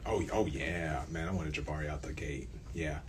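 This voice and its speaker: Deep-voiced man in informal interview chat. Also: normal speed. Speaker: radio play creator being interviewed. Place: student radio station. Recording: faint background noise.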